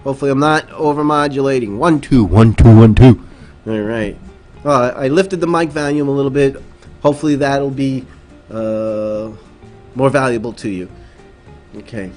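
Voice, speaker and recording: A man hosts a late-night livestream, speaking at an everyday middle pitch into a desk microphone. He rambles through his points with plenty of ums and uhs and stops to answer viewers' comments. Quiet music plays faintly behind him. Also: moderate pace. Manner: rambling, many fillers, reads chat aloud. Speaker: livestream host.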